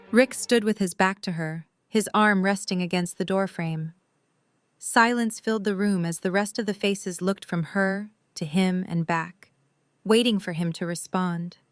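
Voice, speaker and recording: A woman's voice: medium pitch, no room sound. Dry, close-sounding female story narrator.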